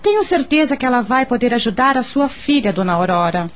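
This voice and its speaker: An actress playing a discreet, kindly neighbour in a radio soap opera, speaking in a gentle, persuasive way. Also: female voice, on the high side, animated, brisk, lightly acted. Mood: reassuring. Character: discreet, kindly, well-meaning neighbour woman.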